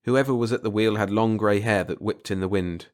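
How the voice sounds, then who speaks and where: A man's voice: fairly low pitch, fairly quick, darkish tone. A man reading a short story aloud as a dramatic, scripted audiobook narration.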